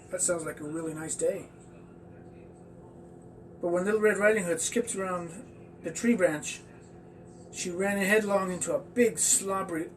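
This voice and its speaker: A man telling a children's story aloud in a halting, stumbling way. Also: high voice. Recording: distant mic with faint background noise.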